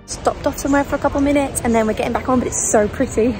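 Young woman in her twenties, travel vlogger, fairly high voice, chatty and tired, phone selfie sound, light music underneath. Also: very fast. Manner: chatty and excitable, lots of "like" and "literally". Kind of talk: casual travel vlog to camera. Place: hotel bathroom, then train and outdoor rainforest spots. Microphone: phone camera at arm's length.

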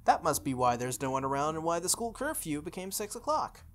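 Low-key woman, even pitch range. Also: fairly quick. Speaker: playthrough host reading a visual novel aloud. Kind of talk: reading game narration aloud with casual asides.

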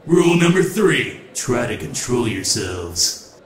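A man's voice: mid-range voice, sing-song, slow, echoey room. A man voicing a cocky, wild, green-faced trickster rock showman in a comic book dub, hammy and theatrical. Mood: showboating. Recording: loud music behind the voice. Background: loud music.

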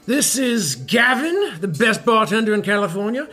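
A man's voice: sits high, sing-song, normal speed, sharp tone, exaggerated voice. An actor in a comedy podcast playing a washed-up middle-aged rock star, boastful and needy, stammering as he rambles matily. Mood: schmoozing. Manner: stammering, rambling, faux-matey.

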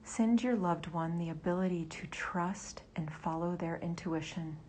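A woman leading a guided meditation in a soft, breathy near-whisper. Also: lowish voice, measured pace, a little hiss or hum.

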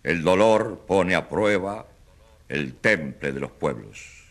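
A man's voice: low voice, expressive, a bit bright, full character voice. An actor playing a self-assured provincial governor, a man giving a solemn, grandiose political address in a radio drama. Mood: solemn, stirring. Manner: solemn, grandiose, pausing speech-making.

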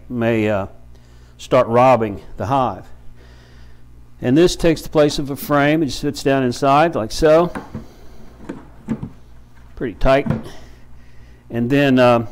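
A man in his fifties with a medium-pitched voice explains things step by step. His delivery is informal and folksy, with halting phrases, like a hands-on instructor showing beginners the basics. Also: slight background noise. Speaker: beekeeper and bee farm shop owner, instructor. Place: small brick-walled room with many windows, indoors.

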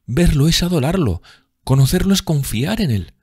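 Un hombre que da voz a una joven actriz enamorada y risueña, con un habla musical y exclamativa, en un audiolibro. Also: male voice, mid-range voice, very animated, moderate pace, darkish tone, slightly rough, dry recording, clean studio sound, pitched above the performer's own voice. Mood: rapturous. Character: giddy, lovestruck young woman, a dreamy actress.

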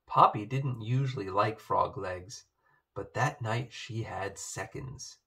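A man reading a children's picture book aloud as narrator, in an expressive way. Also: on the low side, slow, creaky.

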